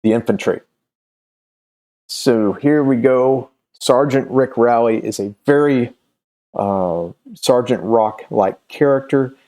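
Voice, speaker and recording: A man in his fifties giving a casual, promotional vlog talk as a creator, halting and often searching for words. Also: mid-range voice, warm tone. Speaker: comic creator and channel host. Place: small room at home, indoors.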